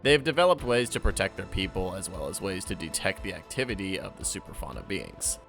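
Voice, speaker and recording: A man's voice: sits low, animated, a little fast, creaky, a bit bright, soft background music. A man narrating a scripted recap and review with wry asides. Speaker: guest co-commentator.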